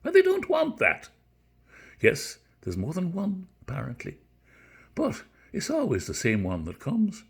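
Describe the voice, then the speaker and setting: A man's voice: sits high, wildly swooping pitch, measured pace, vocal fry, slightly rough, pitched above the performer's own voice, exaggerated voice. A man reading a novel dramatically as its weary, muddled narrator, in a put-on Irish accent with a plaintive, resigned manner. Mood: puzzled, plaintive.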